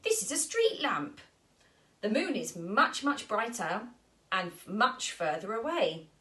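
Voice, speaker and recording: A big storybook spider, played by a woman, full of condescending pity. It talks slowly in a high, swooping voice. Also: big pitch swings, sharp tone, full character voice. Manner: sighing, condescending. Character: large spider, pitying and condescending. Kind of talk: children's storybook character voice.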